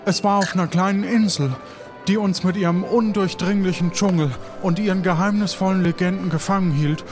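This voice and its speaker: A man playing a theatrical pirate ship's cook in an improvised audio adventure, phrasing things dramatically and haltingly. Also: high voice, fairly even intonation, faint music behind, close to an everyday voice. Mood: solemn, dramatic.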